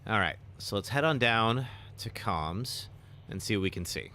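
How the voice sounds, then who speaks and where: A young man, slightly raspy today, chatting casually while live streaming a game and answering chat. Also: fairly low pitch, lively intonation, moderate pace, slight background noise. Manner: chatty, reacts with sudden loud exclamations; filler-heavy, answers chat. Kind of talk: live gameplay commentary.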